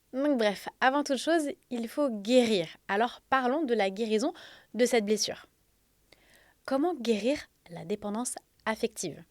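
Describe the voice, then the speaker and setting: A woman in her thirties hosting a spiritual self-help podcast, speaking in an earnest, confiding way with soft fillers. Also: mid-range voice, no echo. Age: 30s. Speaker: podcast host.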